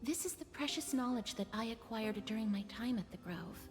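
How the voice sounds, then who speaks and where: A woman's voice: on the high side, animated, brisk, close to an everyday voice. A woman voicing an earnest, composed young heroine who lays out her reasoning. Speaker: game character. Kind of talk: video game voice acting. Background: game music.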